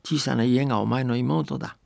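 A man's voice: mid-range voice, steady tone, moderate pace, creaky, a little mellow, no echo, studio quality. A man voicing a commanding, murderous old witch mother in a storybook tale. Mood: stern, vengeful.

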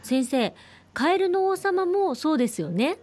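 Slow, high-voiced woman, politely agreeing. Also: big pitch swings, darkish tone, soft background music. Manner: brief, polite agreeing responses. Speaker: co-host and listener. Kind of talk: polite radio conversation.

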